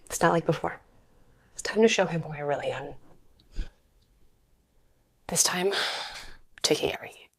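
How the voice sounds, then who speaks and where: Woman acting low, breathy, coolly vengeful young wife. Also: moderate pace, pauses often, dry recording, heavily acted. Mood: cold, controlled. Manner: controlled, quietly menacing. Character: wronged young pregnant wife, coolly bent on revenge. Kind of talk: audio drama acting.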